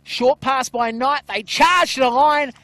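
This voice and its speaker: A man giving loud, excited play-by-play commentary on a rugby league match from an outdoor ground. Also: very high-pitched, unhurried. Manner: loud voice, calls play excitedly, many "uh" fillers.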